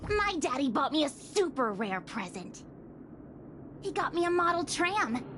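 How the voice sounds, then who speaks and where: A woman's voice: high voice, big pitch swings, measured pace, background noise. A woman voicing a boastful little child in a video game, showing off and taunting. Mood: smug bragging. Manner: bragging, taunting.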